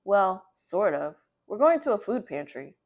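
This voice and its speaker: A woman's voice: medium pitch, sing-song, a little slow. A woman reading the part of a proud, upbeat mother in a children's storybook, reassuring and making the best of things.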